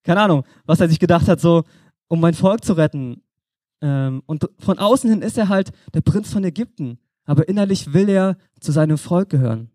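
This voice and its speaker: Young male preacher, dark dry voice. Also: a little high, expressive, normal speed, dry recording. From Germany. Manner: conversational, addresses listener directly. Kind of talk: informal sermon.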